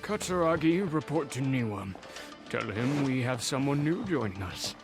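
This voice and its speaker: A man voicing a composed, commanding male leader in a video game, authoritative and measured. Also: medium pitch, lively intonation, music in the background, close to an everyday voice. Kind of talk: English game voice acting.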